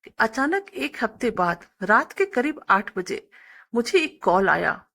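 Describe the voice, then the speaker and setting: Woman narrating with lively, swinging pitch. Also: a little slow, vocal fry. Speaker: story narrator. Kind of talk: scripted first-person story narration with acted dialogue.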